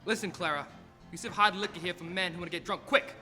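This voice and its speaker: Casting: young man playing tough tavern bartender, high and fast, brusque, radio drama in echoey hall. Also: steady tone, bright, forceful tone, soft background music. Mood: gruff, impatient. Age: teens to young adult. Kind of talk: stage radio play acting.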